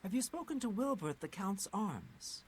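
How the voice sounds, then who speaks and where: A woman's voice: medium pitch, big pitch swings, normal speed, a little mellow, close to an everyday voice. A woman playing a chatty, eccentric townswoman in a fantasy video game. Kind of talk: video game character dialogue. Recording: game audio with background noise.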